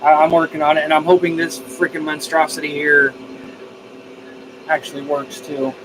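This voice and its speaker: A man's voice: on the high side, level tone, normal speed, noisy background. A man chatting casually on a live stream, answering viewer comments and repeating phrases as he thinks aloud. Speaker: live streamer, radio hobbyist.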